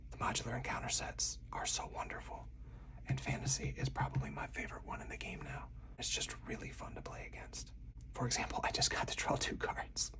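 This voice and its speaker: A man speaks in a breathy whisper, sitting slightly back from the microphone. He narrates a tabletop game move by move in a slow, soothing ASMR style. His delivery stays deliberate and hushed. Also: medium pitch, far from the mic. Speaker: solo board game player and channel host.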